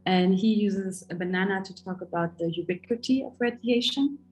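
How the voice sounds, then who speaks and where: A woman's voice: mid-range voice, normal speed, darkish tone, rough recording. A woman from Germany reading out prepared interview questions, earnest with small hesitations. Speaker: student interviewer.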